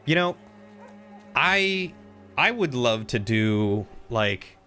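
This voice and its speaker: Casting: male streamer, thirties, bright mid-pitched voice, casual gaming chat. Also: sing-song, very slow, faint music behind. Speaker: game streamer.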